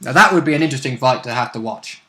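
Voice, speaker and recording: A man's voice: medium pitch, expressive, rapid-fire, pushed and bright. A man chatting casually as a player in a tabletop role-playing game. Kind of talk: casual chat about the game.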